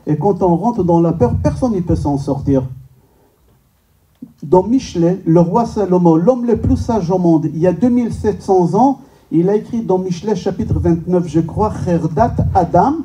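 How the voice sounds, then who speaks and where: Male rabbi, native Hebrew speaker, high-pitched voice, lecturing informally and emphatically, recorded at a distance in a hall. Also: a little slow, warm tone. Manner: rhetorical questions, emphatic repetition, joking. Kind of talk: informal religious lecture to a live audience. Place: medium-sized indoor synagogue function room.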